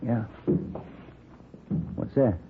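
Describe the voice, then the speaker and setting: A man playing a laconic police detective partner in conversational 1950s radio crime drama style. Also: extremely slow. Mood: concerned. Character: male police detective, easygoing partner on the job. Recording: tinny old radio, heavy noise.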